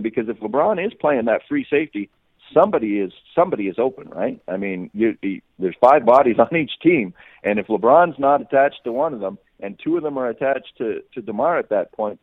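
Low-voiced man analysing sports, halting delivery. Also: dry recording, narrow, tinny audio. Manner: halting, repeats and rephrases phrases as he reasons aloud. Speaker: sports reporter, podcast guest. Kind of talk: unscripted sports analysis in an interview.

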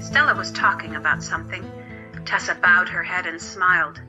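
A woman's voice: lowish voice, fairly even intonation, normal speed, muffled recording, over music. A woman narrating a scripted story as the narrator of an audio drama.

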